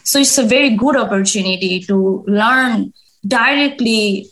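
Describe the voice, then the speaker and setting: Eager higher-voiced woman. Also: unhurried, a bit bright. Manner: eager, loosely phrased non-native English. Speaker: guest, psychology fellow. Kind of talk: interview answer.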